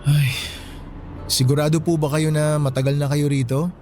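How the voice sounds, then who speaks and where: Man acting polite, firm security guard, highish voice. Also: level tone, moderate pace, warm tone, faint music behind, natural voice. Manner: courteous, apologetic, rule-bound. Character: middle-aged male bank guard, polite but firm. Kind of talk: radio drama acting.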